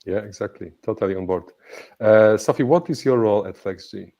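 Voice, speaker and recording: A man with a very low, dark, mellow voice hosts an interview over a video call. He agrees readily with his guest and halts often, with fillers like "uh" and "you know." His questions are short and plain. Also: moderate pace, vocal fry, muffled recording. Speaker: interview host. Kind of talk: conversational interview.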